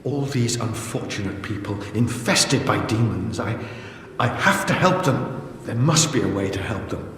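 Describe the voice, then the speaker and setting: Echo-drenched, earnest healer-scholar. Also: male voice, fairly low pitch, expressive, a bit bright. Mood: troubled. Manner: earnest, halting. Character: earnest, obsessive healer-scholar, hesitant and idealistic. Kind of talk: fantasy game dialogue acting. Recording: very echoey hall, faint noise.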